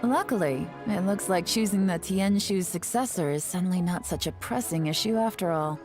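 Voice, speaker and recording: A confident female intelligence agent from a video game, acted by a woman. Her voice sits a little low, cool and matter-of-fact, with shrewd composure over faint music. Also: normal speed, a little mellow, lightly acted. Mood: composed, assured. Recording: music behind the voice.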